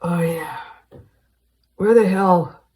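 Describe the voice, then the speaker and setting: A woman voicing a gruff, hot-tempered man pinned under a tree, barking out his lines. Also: on the low side, very slow. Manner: gruff, shouting.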